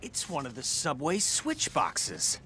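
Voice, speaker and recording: A man's voice: on the high side, wildly swooping pitch, slow, background noise, exaggerated voice. A man voicing a brainy, techy teenage mutant turtle in a cartoon game, explaining things in a nerdy way. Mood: puzzled, alert. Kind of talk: cartoon video game acting.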